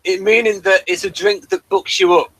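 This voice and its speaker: Man, fairly high voice, bright tone, stammering repeats, casual co-host chat. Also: fairly even intonation, normal speed, poor audio. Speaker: podcast co-host reviewing a TV series.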